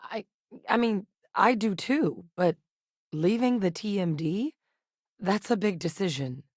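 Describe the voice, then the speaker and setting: A woman voicing an earnest, middle-aged scientist and war veteran in a video game, speaking in a measured, articulate, serious way. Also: mid-range voice, animated, a little mellow, no echo, clean studio sound. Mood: troubled, reflective. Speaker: voice actress in a space game. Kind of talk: scripted video game dialogue.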